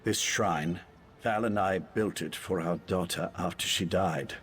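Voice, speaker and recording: A man playing a grieving, bitter elf father and former cultist in a video game, speaking in a measured, earnest way. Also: deep voice, fairly bright tone, slight background noise. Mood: wistful grief.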